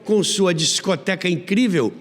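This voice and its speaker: A man's voice: high voice, a little slow, in a large hall. A Brazilian man hosting and narrating a scripted documentary about radio. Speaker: host and narrator of a radio history series.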